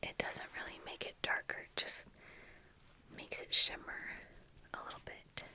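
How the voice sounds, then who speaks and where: Young female ASMR creator, breathy close-mic whisper, leisurely and casual, with pauses. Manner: slow, soft-spoken, pausing while applying makeup. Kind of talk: whispered ASMR get-ready-with-me chat. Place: small indoor room with bookshelves. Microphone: close fluffy-covered desk microphone.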